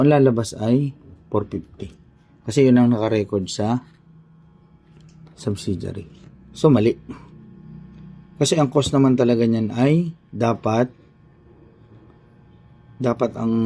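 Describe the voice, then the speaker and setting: A Filipino man with a soft-edged, very mellow and husky voice gives an informal lesson. His pitch sits a little low, and he thinks aloud step by step, often repeating key points. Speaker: accounting teacher. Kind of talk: informal recorded lesson walking through a worked example.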